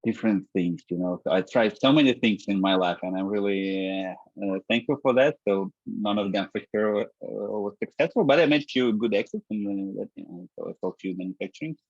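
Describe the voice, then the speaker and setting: A man, a guest in an interview, talking in a halting way with many restarts and fillers. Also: lowish voice, no room sound, muffled recording. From Ukraine. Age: 30s. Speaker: guest, startup founder. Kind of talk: casual interview talk.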